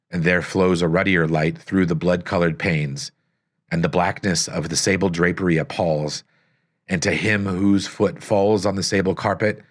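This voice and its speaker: A man reading a gothic story aloud as scripted narration, with solemn, dramatic, deliberate phrasing. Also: deep voice. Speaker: audiobook narrator.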